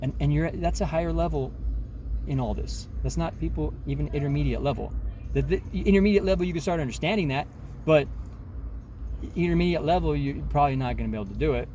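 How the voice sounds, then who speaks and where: Man in his forties, self-help vlogger, mellow voice, talks in emphatic loops with faint noise behind. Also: fairly high pitch, fast talker. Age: 40s. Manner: rambling, many restarts, emphatic, says "man" often. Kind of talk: rambling casual monologue.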